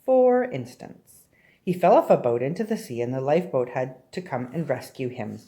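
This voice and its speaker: A woman's voice: rumbling low, lively intonation, brisk, warm tone. A woman reading a children's story aloud expressively, often turning to address young listeners directly with questions. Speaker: storybook reader.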